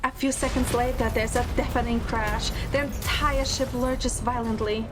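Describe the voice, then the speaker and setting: A woman's voice: a little high, fairly even intonation, moderate pace, noisy background, natural voice. A woman playing a devoted young heroine in love, narrating an audio drama in the first person with earnest, dramatic delivery. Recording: loud music bed under the voice. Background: loud music.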